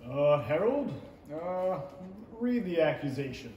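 A man's voice: fairly high pitch, big pitch swings, slow pace, dark tone. A middle-aged man playing a bumbling, hesitant, impatient king in a 1930s-style stage radio play. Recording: echoey theatre stage, clear hiss and clicks.

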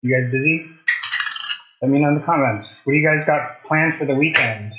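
A man's voice: a little high, normal speed, fairly bright tone. A man in his thirties hosting a casual cooking demonstration, talking conversationally to viewers as he works. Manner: conversational, asks viewers questions while working. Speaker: cooking show host. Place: home kitchen, indoors. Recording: slightly dull, roomy kitchen sound.

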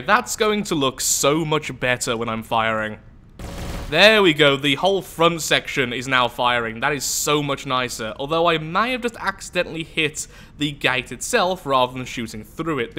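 A man's voice: fairly high pitch, animated, moderate pace, pushed and bright. A young man with a flu-stuffed, nasal voice, chatting casually and poking fun at himself while commentating a space game. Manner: chatty, self-mocking, thinking aloud. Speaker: gameplay commentator. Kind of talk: let's play commentary.

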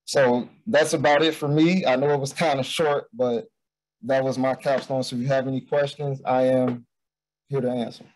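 A man's voice: medium pitch, steady tone, normal speed, darkish tone, low-quality recording. Hesitant man presenting a student project. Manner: loose and hesitant, with many fillers and restarts. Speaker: bootcamp student presenting a capstone. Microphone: video call.

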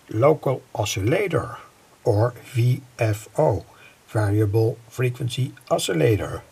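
A middle-aged man with a gravelly, husky voice giving an informal, halting technical explanation as a hobbyist presenter. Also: mid-range voice, a little mellow. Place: indoor home electronics workbench.

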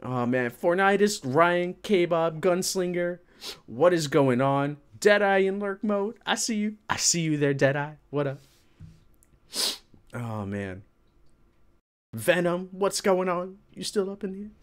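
Animated man chatting on a livestream. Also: high-pitched, takes it slowly, clear, resonant tone. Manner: animated, colloquial, reacting to chat. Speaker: livestream host. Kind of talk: casual chat with live viewers. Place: indoor room with green screen.

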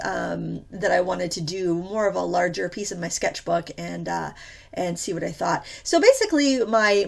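Chatty woman with a stuffy, gravelly voice. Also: mid-range voice. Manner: chatty, rambling, many fillers. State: slightly stuffy from a cold. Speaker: art tutorial host. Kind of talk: casual painting tutorial voice-over.

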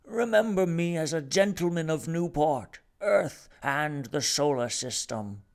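A man doing a serene glottal-tenor gentleman. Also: on the high side, expressive, unhurried, creaky, pitched above the performer's own voice. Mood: calm.

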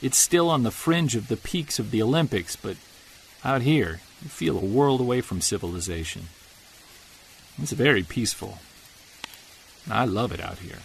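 A man playing an earnest, gravelly Bigfoot hunter. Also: medium pitch, lively intonation, normal speed, pauses often, a little hiss or hum, low-quality recording. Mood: hopeful. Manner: earnest, soft-spoken, confessional. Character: Jared, Bigfoot investigator, earnest, hopeful. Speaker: narrator of audio drama. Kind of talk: audio drama narration.

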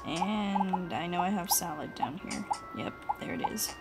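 A woman's voice: on the low side, expressive, a little slow, creaky, loud music behind the voice. A woman game streamer giving casual, playful commentary, exclaiming at the game and reading bits of its dialogue aloud.

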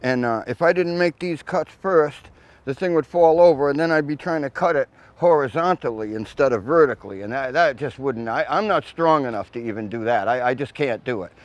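A man's voice: mid-range voice, a little fast. An older man, a shipwright, explaining chainsaw work step by step outdoors in a plainspoken, practical way, recorded on a lapel mic. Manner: plainspoken, practical, folksy asides. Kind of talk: hands-on instructional explanation. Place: outdoors in a grassy yard by a mill.

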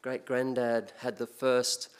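An older Australian man preaching at a church pulpit into a mic. His delivery is relaxed and conversational, full of small restarts and fillers. His pitch sits in the middle range. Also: slow, clean tone. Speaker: guest preacher. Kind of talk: sermon. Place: church sanctuary, speaking at a pulpit.

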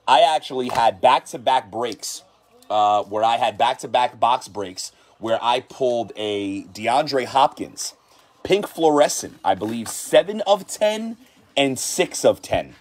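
A man runs a card-reveal stream from his shop desk, his voice sitting at an ordinary middle pitch. His excitement keeps building as he repeats his praise over and over. He sounds like a regular host talking to his viewers. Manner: hyped, repeats himself.

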